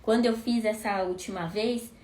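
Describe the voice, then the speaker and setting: A woman's voice: mid-range voice, steady tone, moderate pace, a little mellow. A woman in her thirties talking casually to viewers, asking herself questions and answering them. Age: 30s. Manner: conversational, asks rhetorical questions. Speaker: host. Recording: echoey room with faint noise.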